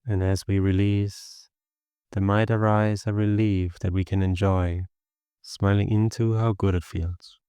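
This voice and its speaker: Low, smooth-voiced man guiding meditation. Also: little pitch movement, no echo, studio quality. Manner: slow, gentle, instructive delivery with long pauses. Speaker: meditation teacher. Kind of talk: guided meditation narration.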